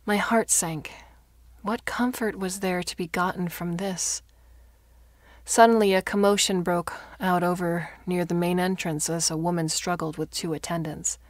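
Female fiction narrator, lowish voice, expressive, often breathy, dry studio-like sound. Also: a little slow, no echo. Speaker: story narrator. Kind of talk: dramatic audiobook narration of fiction.

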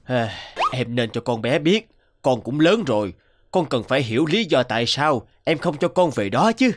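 A man's voice: mid-range voice, very animated, moderate pace, noisy background, natural voice. Man voicing easygoing middle-aged cartoon dad. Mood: casual, concerned. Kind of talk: animated folk-tale dubbing. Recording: music under the voice.

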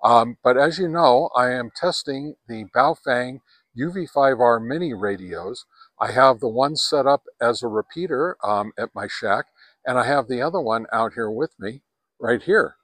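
A man's voice: mid-range voice, moderate pace, fairly bright tone, no room sound. A man in his sixties rambling casually through a hands-on ham radio review, heavy on fillers, recorded on a camera held at arm's length. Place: home radio shack, then outdoors in a park, then in a truck. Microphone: handheld camera at arm's length.

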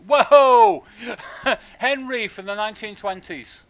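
A man's voice: very high voice, takes it slowly, a bit bright. A British man giving live, irreverent, sweary commentary while streaming a video game. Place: indoors at a home streaming setup. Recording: game sound behind the voice. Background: video game audio.

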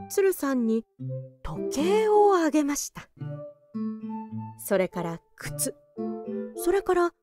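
Woman narrator, high voice, lively intonation, children's storybook reading. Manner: animated read-aloud. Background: children's background music.

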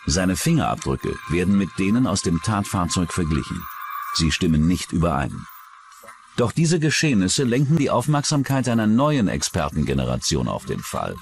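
A man's voice: low voice, big pitch swings, moderate pace, creaky, a little hiss or hum. A man reading scripted narration for a true-crime documentary.

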